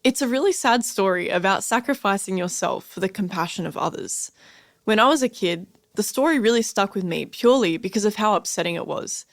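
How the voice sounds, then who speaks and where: A woman's voice: medium pitch, lively intonation, brisk, dry recording, studio quality. A woman with an Australian accent reading scripted narration as a radio host.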